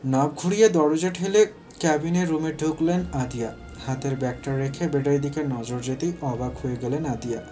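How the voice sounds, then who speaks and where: A man's voice: on the high side, moderate pace, warm tone, faint music behind. A man narrating a scripted romantic story as its storyteller.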